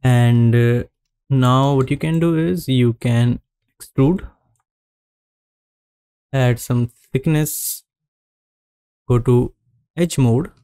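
A man narrating a screen-recorded 3D modeling tutorial, giving calm step-by-step instructions with frequent pauses. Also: medium pitch, clean tone, no room sound.

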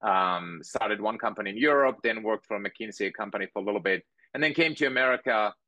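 A man, telling his story in a measured, thoughtful way as a podcast guest on a video call. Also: lowish voice, bright, forceful tone, no room sound. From Finland. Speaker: guest entrepreneur. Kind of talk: interview conversation. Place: home room with bookshelves.

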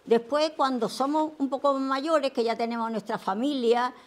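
An elderly woman with a Canary Islands Spanish accent sharing personal reflections in a thoughtful, slightly halting way on a theater stage. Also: high voice. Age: older. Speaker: senior workshop participant.

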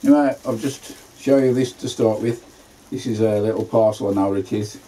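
Homely man in his fifties, low dark voice, chatty allotment vlogger, faint background noise. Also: brisk, low-quality recording. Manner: chatty, homely, plain-spoken. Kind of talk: casual gardening vlog narration. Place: inside a greenhouse on an allotment.

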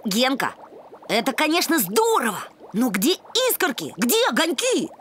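Casting: a bold, good-hearted cartoon dog boy, high animated voice, straight-talking, music loud behind. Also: moderate pace. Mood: blunt, unimpressed. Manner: blunt, colloquial, excitable. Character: bold, energetic older-brother boy puppy, blunt but good-hearted. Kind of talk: animated children's cartoon acting.

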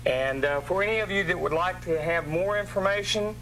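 A male host closes the segment with gracious thanks, his voice bright and a touch higher than most men's. A faint hum runs under the recording, made in an indoor dojo. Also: brisk, low-quality recording. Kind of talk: closing thanks to a guest.

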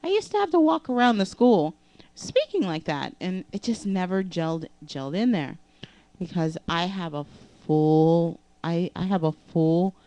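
A young woman vocal coach speaks slowly in a very low, smooth voice, pausing often with fillers and restarts. She holds a microphone in her living room, and the sound is close and dry. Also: expressive, mellow, dry recording, poor audio. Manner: halting, many fillers and restarts. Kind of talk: casual vocal lesson vlog. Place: living room with couch and keyboard. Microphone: handheld microphone.

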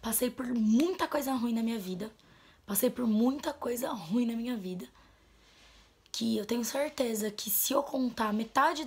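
Blunt young woman venting at a camera. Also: husky. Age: 20s. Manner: blunt, emphatic, gestures a lot and swings from low to loud. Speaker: vlogger. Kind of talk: heartfelt vent to camera. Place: small bedroom, indoors. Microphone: camera mic at close framing.

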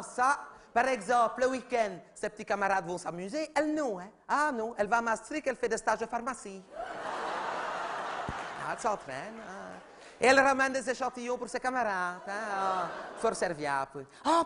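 A man's voice: very high voice, lively intonation, moderate pace, bright, forceful tone, full character voice. A man voicing a chatty, oblivious middle-aged mother, rambling and gossipy in a comedy sketch. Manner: rambling, gossipy, repeats "oui, oui", talks over others.

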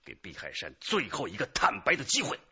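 An actor playing a shrewd, commanding police detective chief in a radio drama, genial and teasing at times. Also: male voice, lowish voice, wildly swooping pitch, fairly quick, highs cut off, noisy background. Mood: brisk, commanding.

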